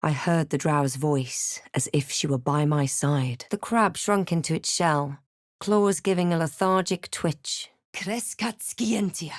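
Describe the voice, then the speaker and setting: A woman playing a self-possessed female adventurer and spellcaster in a fantasy video game, with a measured, dramatic delivery. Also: low-pitched, no room sound, studio quality. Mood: commanding, incanting. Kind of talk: video game voice lines, narration and incantations.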